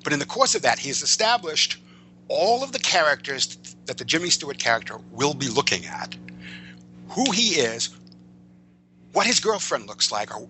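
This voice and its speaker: A man with a strikingly bright, forceful voice talks as a writer being interviewed. He explains in an emphatic, halting, wandering way. A faint noise and hum sit under the recording. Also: fairly high pitch, low-quality recording. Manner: digressive, emphatic, halting delivery. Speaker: interview guest, comic book and screen writer. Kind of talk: conversational explanation of film and comics craft.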